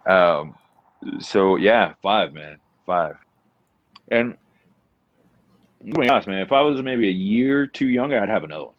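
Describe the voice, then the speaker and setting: Deep-voiced man in casual conversation. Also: lively intonation, moderate pace, pauses often, no echo. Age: 40s. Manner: informal, peppered with "man" and "you know". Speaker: podcast guest. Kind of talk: casual, rambling chat. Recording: dull lo-fi audio.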